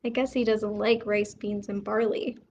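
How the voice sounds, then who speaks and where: A woman hosting a bedtime read-aloud for young children, expressive and putting questions to her listeners. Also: mid-range voice, moderate pace, muffled recording, slight background noise. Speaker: children's story reader and host.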